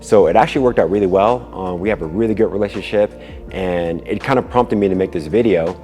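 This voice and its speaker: A man with a very low voice talks casually and earnestly, as if sharing a personal story with viewers. His words carry a long reverb, and music plays behind him. He often repeats a word or phrase to stress it. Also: fast, a little mellow. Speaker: kickboxer and video creator.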